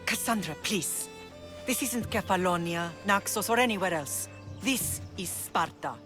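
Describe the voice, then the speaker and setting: A woman playing a stern yet loving older Spartan mother in a video game, speaking in a measured, solemn way. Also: medium pitch, music in the background, lightly acted.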